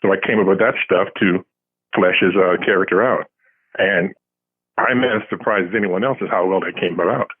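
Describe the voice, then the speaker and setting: A man's voice: low-pitched, moderate pace, slightly rough. A man answering as an interviewed author, telling casual anecdotes with dry, self-deprecating humour. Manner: self-deprecating, dryly humorous. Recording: tinny phone-like line.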